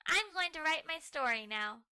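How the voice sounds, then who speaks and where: A woman voicing an eager, playful, inquisitive young girl puppy from a cartoon storybook, excitable and full of questions. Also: sits high, normal speed, pitched above the performer's own voice, exaggerated voice. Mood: cheerful.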